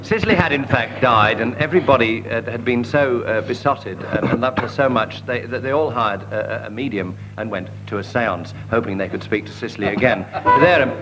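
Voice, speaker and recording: A man's voice: medium pitch, fairly quick, few pauses, music in the background, poor audio. Male comedy panellist, middle register, ad-libbed storytelling. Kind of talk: improvised comic storytelling.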